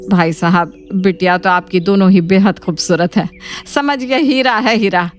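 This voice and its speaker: A woman's voice: mid-range voice, moderate pace, faint music behind, close to an everyday voice. A woman playing an assertive middle-aged mother in a cartoon family drama, firm and persuasive. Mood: persuasive, brazen.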